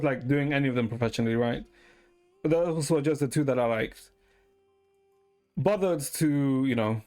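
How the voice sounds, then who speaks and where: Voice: mid-range voice, a little mellow. A streamer chatting casually in a hesitant, meandering way, often tagging sentences with "right?" and swearing now and then. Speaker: live streamer. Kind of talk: casual rambling stream chat.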